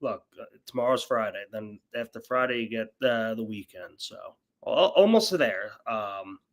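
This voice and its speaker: A man's voice: lowish voice, animated, moderate pace. A young adult man hosting a casual sports betting chat, a little nasal from a cold, upbeat and full of fillers and restarts. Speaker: host.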